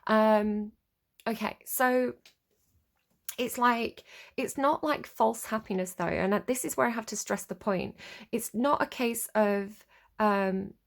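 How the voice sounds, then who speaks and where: A woman's voice: a little high, slow, vocal fry. A woman with a sniffly, stuffed-up voice giving a casual tarot reading, rambling and searching for words with many ums and likes. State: sniffly from a cold. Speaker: tarot reader.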